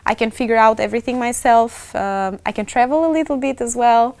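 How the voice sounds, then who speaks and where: Young albanian woman student chatting in an interview, accent, highish clean voice, no room echo. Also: brisk, a little mellow. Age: 20s. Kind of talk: casual interview answers.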